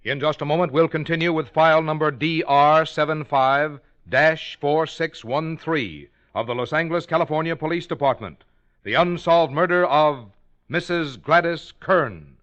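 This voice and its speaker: A man's voice: on the high side, normal speed, fairly bright tone. A man making formal, emphatic scripted announcements as a 1940s radio announcer. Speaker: program announcer. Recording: dull old radio recording.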